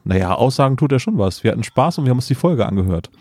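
A man's voice: medium pitch, expressive, rapid-fire, darkish tone, dry recording. A young man hosting a casual podcast, chatty and leading the talk, laughing often. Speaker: podcast host.

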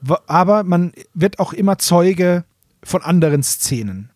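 A man hosting a casual fan podcast, explaining things in an animated, colloquial way. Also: sits high, a little slow, no echo, studio quality. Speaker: podcast host presenting audio dramas.

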